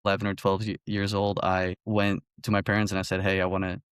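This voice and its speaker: A man's voice: sits low, monotone, quick pace, clear, resonant tone, dry recording, studio quality. A man in his early twenties from Belgium, an athlete telling his story casually as an interview guest. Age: early 20s. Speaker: college golfer, interview guest.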